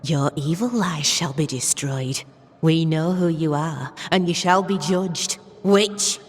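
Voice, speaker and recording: Low, slow, righteous townswoman. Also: slight background noise. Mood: righteous fury. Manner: stern, righteous, accusatory. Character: pious, hostile colonial townswoman accusing a witch. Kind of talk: dramatic video game acting. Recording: long reverberant hall echo.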